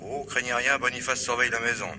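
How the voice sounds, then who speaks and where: A man's voice: medium pitch, little pitch movement, fast talker, pushed and bright. An actor in an old French radio mystery playing a deferential policeman reporting to his boss. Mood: obedient. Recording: noisy, roomy, clicking old radio recording.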